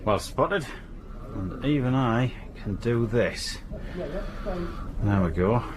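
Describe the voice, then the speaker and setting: An actor playing a polite, wry, sceptical police detective in naturalistic radio drama dialogue, casual and dryly humorous. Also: male voice, medium pitch, very animated, very slow, background noise. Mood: businesslike.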